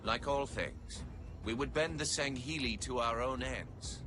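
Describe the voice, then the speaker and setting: Loud music, man voicing solemn alien prophet, stately and pompous, formal game cutscene narration, mid-pitched. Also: unhurried, lightly acted. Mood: solemn, imperious. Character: proud, solemn alien prophet narrating his empire's history. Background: loud cinematic music.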